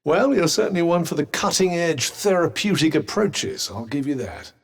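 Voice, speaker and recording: A sardonic, lilting British magical companion. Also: male voice, a little high, animated, normal speed, vocal fry, husky, full character voice. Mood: mocking. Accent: British English. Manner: dry, sarcastic, condescending, theatrical. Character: sardonic, haughty, well-spoken talking magical cuff, male. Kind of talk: video game character dialogue.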